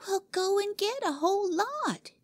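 A woman doing a bossy shrill little girl. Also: very animated, measured pace, dry recording, full character voice. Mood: commanding. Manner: shrill, imperious, gleeful.

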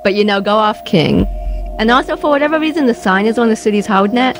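A woman's voice: on the high side, animated, quick pace, music in the background. A woman playing a casual, awkwardly dutiful female investigator in an audio drama. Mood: defensive.

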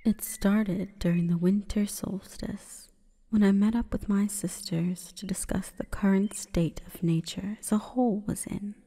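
A woman voicing a seductive, solemn female plant spirit in a breathy, formal, commanding whisper for an ASMR roleplay. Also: mid-range voice, fairly even intonation, unhurried, warm tone. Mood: solemn, hushed. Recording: long reverberant echo.

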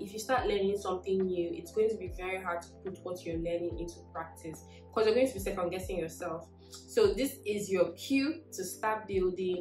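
A young woman from Nigeria talks straight to the camera in a relaxed, upbeat storytelling style, urging viewers on. Her voice sits a little low. The sound is roomy, with faint music underneath. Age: young adult. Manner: upbeat, encouraging, gestures while talking. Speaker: tech vlogger. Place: indoor living room.

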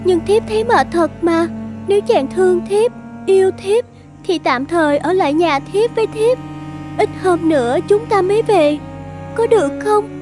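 A woman voicing a gentle, pleading young ghost bride in an animated folktale, with polite old-fashioned speech. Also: very high voice, moderate pace. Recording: music under the voice.

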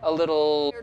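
A man in his thirties, an acting professor, giving an upbeat, encouraging lecture to camera in a studio. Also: high-pitched. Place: studio stage set.

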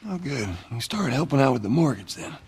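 A man playing a weary, protective middle-aged father with a gruff Southern American accent in a video game. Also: medium pitch, expressive, brisk, darkish tone, a little hiss or hum, natural voice. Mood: tired, dry. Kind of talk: naturalistic video game acting.

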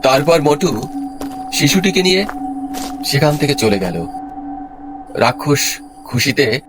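A man's voice: on the high side, very animated, slow pace. A man narrating a scripted story in a dramatic storyteller's style. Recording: loud music behind the voice. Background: loud background music.

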